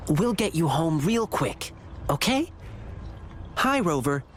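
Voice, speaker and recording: Eager, swooping young lion dancer. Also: male voice, fairly high pitch, very animated, takes it slowly, fairly bright tone, a little hiss or hum, exaggerated voice. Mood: reassuring, friendly. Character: eager, kind-hearted, plucky lion dancer boy. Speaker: lion dance troupe performer. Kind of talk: video game character dialogue.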